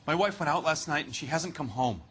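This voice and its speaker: A man's voice: a little high, animated, a little fast, a bit bright, a little hiss or hum. An actor playing an anxious, defensive husband and father in a video game, hesitant and plain-spoken. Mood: uneasy. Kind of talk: video game cutscene acting.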